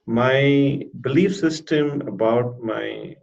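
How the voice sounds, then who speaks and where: A man with a stutter giving a halting, deliberate personal testimonial as a therapy client in an interview. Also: mid-range voice, creaky, darkish tone. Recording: dull lo-fi audio.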